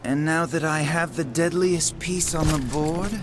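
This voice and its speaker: An actor playing an arrogant, sadistic young aristocratic villain in a video game, with a theatrical, mocking drawl. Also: male voice, a little high, measured pace, noisy background, close to an everyday voice. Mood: smug, menacing. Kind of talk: video game cutscene voice acting. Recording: loud music over the voice.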